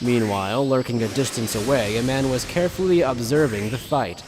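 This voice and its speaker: A male narrator with a medium-pitched voice in long, hall-like reverb. He reads scripted dramatic story narration. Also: brisk, over music.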